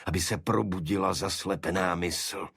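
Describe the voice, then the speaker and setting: A man voicing a fanatical, vengeful English gentleman in an audiobook, with impassioned, indignant delivery. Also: sits low, steady tone, creaky, breathy edge, no echo, clean studio sound, exaggerated voice. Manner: impassioned, indignant, halting repetitions.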